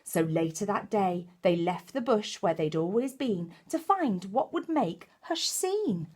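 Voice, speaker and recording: A woman reading a picture book to children like a primary teacher, expressive and exclaiming, with chatty asides. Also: mid-range voice, measured pace. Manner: expressive, exclaiming, with gasps and hushed dramatic moments.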